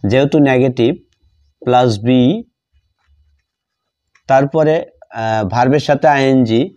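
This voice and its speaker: A man with a Bengali accent teaching a grammar lesson step by step, halting and repeating phrases in short fragments as he writes. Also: mid-range voice, fairly even intonation, a little mellow, clear, resonant tone. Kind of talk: step-by-step grammar lesson, spoken while writing. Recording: dull lo-fi sound.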